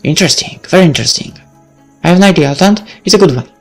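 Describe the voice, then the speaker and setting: A man's voice: fairly high pitch, animated, racing, creaky, slightly rough, faint music behind, pitched above the performer's own voice, exaggerated voice. A man voicing a self-important, bossy, geeky young heroine who talks pompously in a story.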